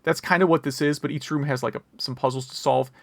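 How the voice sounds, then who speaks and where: Gravelly-voiced man chatting casually, dry sound. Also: on the high side, fairly even intonation, fast talker, dry recording. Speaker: podcast host. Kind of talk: casual retro gaming news chat.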